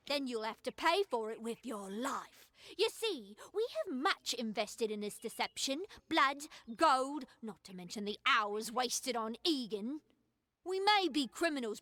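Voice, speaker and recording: A woman's voice: high-pitched, a bit bright, no room sound. A woman voicing a sly female con artist and outlaw in a video game, mocking, smug and coolly menacing. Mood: cold menace. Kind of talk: video game villain acting.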